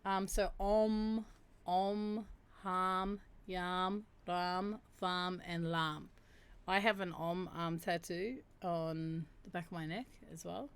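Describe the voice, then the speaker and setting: A woman with a deep voice hosting a livestream, chatting casually and reading meditation instructions aloud. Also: steady tone, smooth. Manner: chatty, laughs and reacts to chat; slows down to chant drawn-out syllables. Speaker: livestream host. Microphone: streaming mic.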